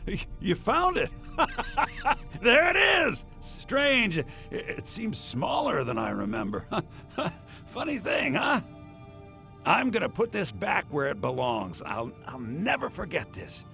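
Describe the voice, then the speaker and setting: Excitable, bright-voiced shopkeeper. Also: male voice, high-pitched, takes it slowly, gravelly, exaggerated voice. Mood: amazed relief. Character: excitable, grateful fantasy shopkeeper. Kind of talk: video game voice acting. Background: music.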